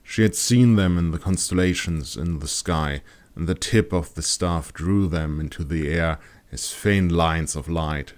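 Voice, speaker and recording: A man reading scripted fantasy audiobook narration in a dramatic, deliberate storytelling manner. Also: sits low, a little mellow.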